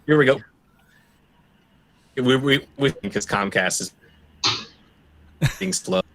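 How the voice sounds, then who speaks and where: Male theatre crew member, casual livestream talk; lively intonation, bright tone. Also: mid-range voice, slow.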